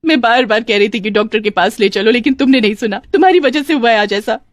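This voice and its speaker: A woman's voice: fairly high pitch, fast talker, no room sound, lightly acted. A woman voicing a long-suffering young daughter-in-law in a cartoon tale, complaining and pleading. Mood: desperate pleading.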